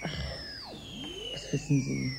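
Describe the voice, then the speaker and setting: A woman's voice: slow pace, music in the background, lightly acted. A woman playing a scornful, guarded conspirator in a German science fiction radio drama, with a cutting, mocking manner. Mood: irritated.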